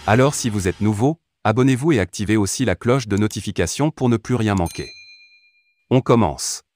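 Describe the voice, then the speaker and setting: A man's voice: fairly low pitch, normal speed, a little mellow. A man delivering a scripted channel introduction as an announcer.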